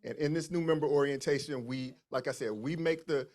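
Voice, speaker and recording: A man with a fairly high voice is running a meeting from the stage. He introduces new members and announces the orientation in an upbeat tone, laughing easily along the way. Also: brisk, a little mellow. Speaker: event host.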